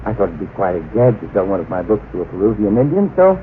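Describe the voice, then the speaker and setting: An actor playing a dreamy, self-satisfied young secretary and would-be author in an old-time radio drama, airy and excitable. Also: male voice, lowish voice, fast talker. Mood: pleased, smug. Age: young adult. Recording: tinny, hissy old radio recording.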